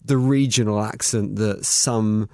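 A man's voice: on the low side, steady tone, unhurried, dark tone, no echo, clean studio sound. A man hosting a podcast interview, putting questions to his guests in a conversational style.

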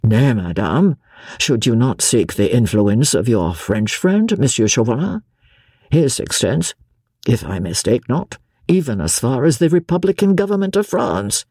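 A man's voice: mid-range voice, sing-song, a little mellow, slightly rough, no room sound, studio quality, full character voice. A man voicing a proud English nobleman who speaks coolly and formally while holding back his feelings. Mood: cold gallant. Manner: cool, measured, formal. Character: proud restrained English nobleman, cold and gallant.

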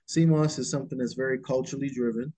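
A man's voice: medium pitch, steady tone, dark tone. Man from the Bahamas telling his story. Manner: unhurried, with frequent filler pauses. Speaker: guest entrepreneur. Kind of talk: casual interview storytelling.

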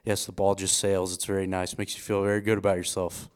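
A man hosting a sports podcast, chatty and relaxed, introducing and interviewing a guest. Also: low voice, fast talker, smooth. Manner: relaxed, chatty, with frequent restarts and fillers. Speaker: podcast host.

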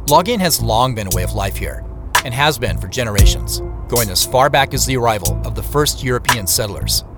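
Audio drama lead, male actor: reflective traveler narrating his journey, mid-pitched, animated inflection, music underneath. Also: natural voice. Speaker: narrator and protagonist. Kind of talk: scripted first-person narration.

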